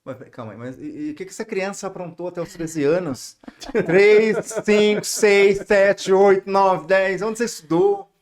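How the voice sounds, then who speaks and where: A man's voice: sits high, moderate pace. A man co-hosting an interview show, giving short backchannel replies and playful questions. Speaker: co-host.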